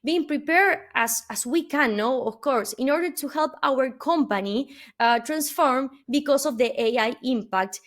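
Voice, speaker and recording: Argentine woman giving a keynote, slightly high. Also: measured pace, hardly any pauses. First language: Spanish. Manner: earnest, adds questioning "no?" tags and many "uh" and "um" fillers. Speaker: keynote speaker. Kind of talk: webinar keynote talk.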